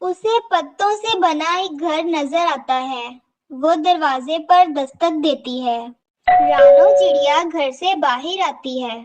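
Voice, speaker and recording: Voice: high voice, slow pace. A narrator telling a scripted children's cartoon story.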